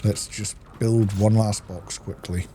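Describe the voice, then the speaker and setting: A man's voice: fairly low pitch, fairly even intonation, measured pace, warm tone, a little hiss or hum. A man giving casual gameplay commentary as a let's-play host, muttering to himself as he plays.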